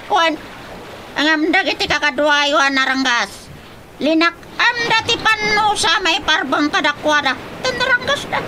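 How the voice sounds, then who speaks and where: A woman playing a solemn guardian whale in a radio drama, speaking in a drawn-out, admonishing way with an Ilocano accent. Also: very high-pitched, expressive, background noise. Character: talking female whale, solemn guardian of the sea. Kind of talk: radio comedy-drama acting.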